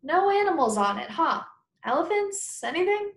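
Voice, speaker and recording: A woman's voice: extremely high pitch, moderate pace, voice pushed up, exaggerated voice. Woman reading as an eager young boy, roomy sound. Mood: inquisitive. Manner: excited, pleading questions. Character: eager, curious fourth-grade boy pestering his dad. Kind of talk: children's book read-aloud.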